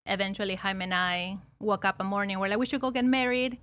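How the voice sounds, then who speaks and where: A woman in her forties from Peru speaks with a Peruvian Spanish accent. She tells her story to a podcast host in a chatty, loose way, full of fillers and restarts. She laughs easily and keeps at a moderate middle pitch. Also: quick pace, a bit bright. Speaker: podcast guest, loan officer. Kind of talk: casual storytelling interview.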